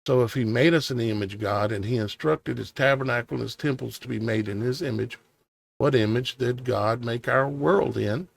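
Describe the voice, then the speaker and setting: A man's voice: mid-range voice, gravelly. A tired man whose voice is starting to go, reading scripture aloud and musing on it with hesitant, questioning asides. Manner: reads old scripture in a measured cadence. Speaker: online preacher.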